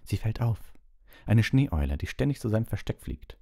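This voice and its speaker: Man as teenage schoolgirl, indignant, lecturing, lifted pitch, soft-edged tone, audiobook character. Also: big pitch swings, moderate pace, vocal fry, full character voice. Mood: brusque, impatient. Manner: brusque, insistent, lecturing.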